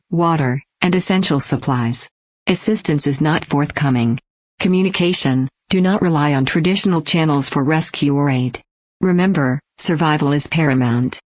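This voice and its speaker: A woman's voice: low-pitched, darkish tone, dry recording. A woman playing an impersonal, stern emergency broadcast announcer, giving clipped instructions in a radio drama.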